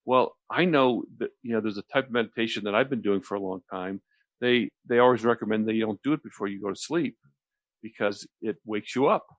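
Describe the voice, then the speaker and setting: A deep-voiced man talks on the radio in a close, dry sound. He explains things conversationally as a host would, rambling a little and often repeating a phrase before moving on. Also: brisk, dry recording, muffled recording. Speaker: radio show host explaining relaxation technique.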